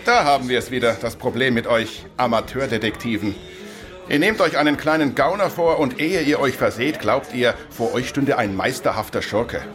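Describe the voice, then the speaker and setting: An actor playing a jovial, patronizing police inspector with a breezy manner in a radio crime drama. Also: male voice, medium pitch, moderate pace, fairly bright tone, faint music behind, lightly acted. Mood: wry.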